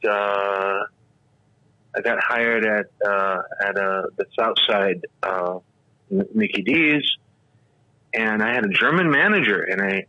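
A man's voice: on the low side, bright, forceful tone, no echo. A man in his sixties, a radio talk show host, telling stories in a rambling, casual way full of fillers and restarts. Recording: dull, lo-fi radio audio.

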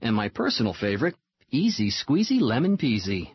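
A man reading a scripted radio ad in a playful, lively way. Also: medium pitch, fairly quick. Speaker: ad announcer. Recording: dull band-limited radio audio.

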